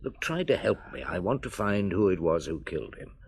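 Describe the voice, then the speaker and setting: A patient middle-aged police chief from a radio play, with a low voice that rises and falls a lot. He questions a witness calmly, coaxing answers out of her step by step. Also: brisk, husky, heavily acted. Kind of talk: radio drama acting.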